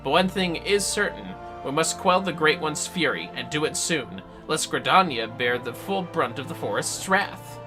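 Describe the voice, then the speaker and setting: A man's voice: a little high, animated, normal speed, few pauses, lightly acted. A man voicing a solemn, earnest forest mage from a fantasy game, reading dialogue aloud in a formal, archaic, theatrical manner. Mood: solemn, grave. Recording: music behind the voice.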